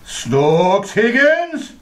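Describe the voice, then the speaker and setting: A woman's voice: mid-range voice, big pitch swings, extremely slow, a little hiss or hum. A woman playing a stern, exasperated schoolteacher, scolding and flustered, in an old-time radio comedy. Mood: indignant. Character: exasperated, stern male grade-school teacher.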